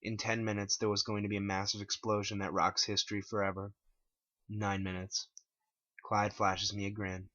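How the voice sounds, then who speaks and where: A man's voice: on the low side, flat delivery, moderate pace, a bit bright, no room sound, highs cut off. A man giving a dramatic scripted narration as the author of a first-person story. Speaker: author reading own story.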